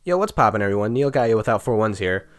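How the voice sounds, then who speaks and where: A man's voice: fairly low pitch, animated, quick pace, faint music behind. A young man with a nasal, stuffy voice from being sick, telling a joking, chatty story about his school days with small stumbles. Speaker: YouTuber. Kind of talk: casual storytime narration.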